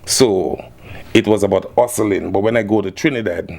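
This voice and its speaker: Guyanese male guest, lowish voice, laid-back chat. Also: moderate pace, vocal fry. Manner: relaxed, laid-back, repeats "yeah, man". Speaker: guest musician. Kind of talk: casual interview.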